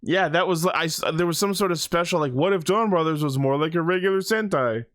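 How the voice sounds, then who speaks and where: A man's voice: fairly high pitch, fairly quick, a bit bright, no room sound, clean studio sound. A man co-hosting a podcast, talking in casual fan banter with an informal, sweary manner. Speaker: podcast co-host.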